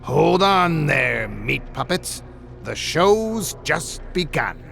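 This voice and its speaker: Taunting maniacal little evil puppet. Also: male voice, a little high, sing-song, vocal fry, music in the background, voice pushed up, full character voice. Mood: menacing showman. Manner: taunting, mocking, drawn-out showman delivery. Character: small maniacal evil male puppet with chainsaw arm. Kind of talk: horror storybook character voice.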